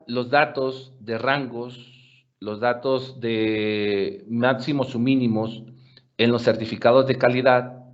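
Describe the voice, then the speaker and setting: A man presenting on a webinar, answering audience questions in a measured way with frequent filler pauses. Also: mid-range voice, lo-fi sound.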